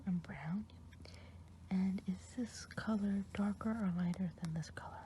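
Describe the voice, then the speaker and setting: A woman playing a kindly good witch healer in an ASMR roleplay, speaking in a soft, soothing whisper. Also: medium pitch, moderate pace, slight background noise, close to an everyday voice.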